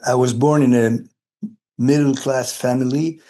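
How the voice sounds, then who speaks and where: Male, late 60s, Quebec French accent, native French speaker. Mellow, relaxed tone, mid pitch. Halting, earnest interview delivery. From Quebec, Canada, brought up partly in Cameroon and Senegal. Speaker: interviewee, retired philosophy of education academic. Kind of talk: reflective interview talk.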